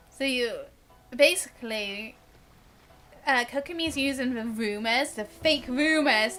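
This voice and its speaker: A woman's voice: high-pitched, pushed and bright. A woman game streamer giving casual live-stream commentary in a chatty, giggly way. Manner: chatty, giggly, mimics the characters.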